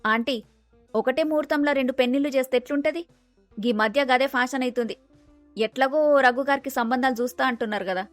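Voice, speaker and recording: Woman voicing scheming young daughter-in-law, Telangana accent, blunt, fairly high voice, dry sound. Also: fast, no echo, soft background music, natural voice. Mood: sly suggesting.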